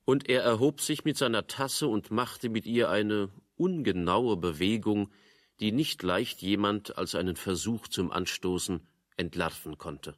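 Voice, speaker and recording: An actor narrating a radio play as a dry, ironic literary storyteller. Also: male voice, lowish voice, a little slow, no echo, natural voice. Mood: deadpan.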